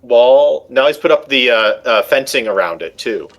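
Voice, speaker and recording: A man's voice: mid-range voice, animated, normal speed. A man chatting casually over voice chat while gaming, rambling and restarting his sentences as he rants. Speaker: streamer playing a strategy game with friends.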